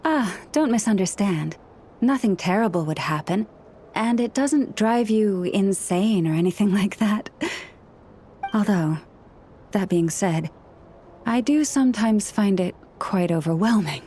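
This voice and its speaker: An actress playing a gentle, patient young woman who speaks with animals in a video game, polite and measured. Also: medium pitch, animated, slight background noise, lightly acted. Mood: confiding, explanatory.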